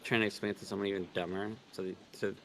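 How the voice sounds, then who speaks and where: A man's voice: sits low, level tone, very fast, a bit bright, a little hiss or hum. A man co-reading a visual novel on a livestream, moving between read lines and casual commentary. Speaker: co-reader on a game livestream.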